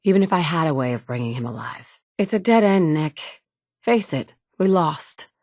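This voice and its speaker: Determined mother in a game: blunt, dry-witted, lower register, close dry booth read. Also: animated, normal speed, darkish tone, dry recording. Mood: determined, wry. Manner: dry wit, blunt and curt when annoyed. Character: determined mother searching for her son, wry. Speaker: player character. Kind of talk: game dialogue lines. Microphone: close studio mic.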